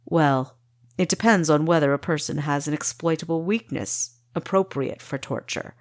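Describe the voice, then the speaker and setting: Woman as a direct male spy guide, lowish voice. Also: moderate pace, natural voice. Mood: matter-of-fact. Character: male spy guide, brisk, matter-of-fact.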